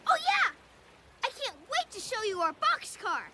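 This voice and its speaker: A woman voicing an excitable, earnest little boy of about six in an animated film. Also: extremely high pitch, moderate pace, very noisy. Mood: excited. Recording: loud film music underneath.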